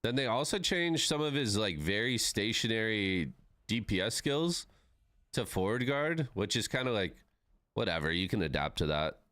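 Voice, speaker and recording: A man's voice: fairly low pitch, sing-song, moderate pace, a bit bright, no echo, clean studio sound. A man in his thirties chatting casually with his live-stream viewers, laid-back and swearing freely. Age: 30s.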